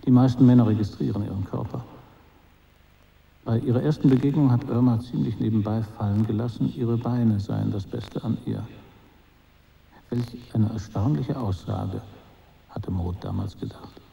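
Detached brooding narrator guy, super dark gravelly voice, literary radio drama. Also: on the low side, steady tone, moderate pace, big-room sound, highs cut off. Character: detached, brooding male narrator of a broken romance.

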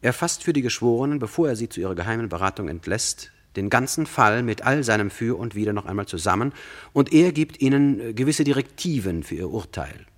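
An actor playing a serious male host narrating a 1960s radio drama, measured and rhetorical as he addresses the listeners. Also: medium pitch, expressive, natural voice.

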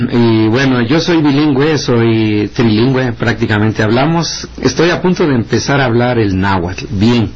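A man's voice: medium pitch, unhurried, a little mellow, slightly rough. A man from Oaxaca, Mexico, with a Mexican accent and Mixtec as his first language, chatting as a radio guest in a rambling way, with many hesitant fillers. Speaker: guest, community radio presenter. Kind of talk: casual radio interview chat. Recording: muffled radio broadcast.

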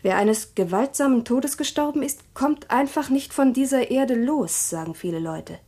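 A woman's voice: high-pitched, expressive, normal speed, mellow. An actress in a German radio drama playing a young wife who keeps pressing her husband with questions. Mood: probing. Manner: questioning, insistent. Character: anxious, probing young wife. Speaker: wife Pamela.

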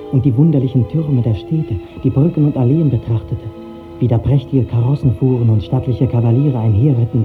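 An actor playing a dreamy, earnest young romantic poet, reflecting lyrically and wistfully in a 1950s German radio drama. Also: male voice, mid-range voice, natural voice. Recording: 1950s radio recording, thin narrow band, music.

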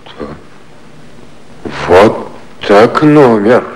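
An actor playing a firm, formal American envoy in a satirical 1950s radio play, declaiming in an official manner. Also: male voice, fairly low pitch, big pitch swings, extremely slow, heavily acted, rough recording. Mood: stunned.

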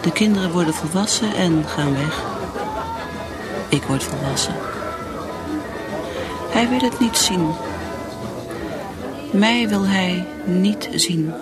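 Weary middle-aged wife, music behind. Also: female voice, on the low side, takes it slowly, pauses often, a loud crowd. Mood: bitter, sardonic. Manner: weary, sardonic. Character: Anna, disillusioned, weary middle-aged wife, bored and bitter. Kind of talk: radio play acting.

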